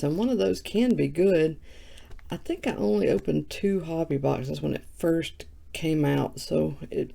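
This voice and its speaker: A woman who has just woken up, with a low morning voice, chatting casually while opening trading cards on camera. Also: vocal fry, warm tone. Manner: chatty and self-mocking, reading off card names. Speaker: card breaker. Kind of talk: casual card-opening vlog.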